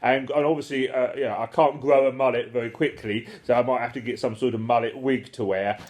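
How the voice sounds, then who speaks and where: A man's voice: medium pitch, steady tone, a little fast. A man chatting casually and comically, with a jokey, self-deprecating and slightly rambling, hesitant manner.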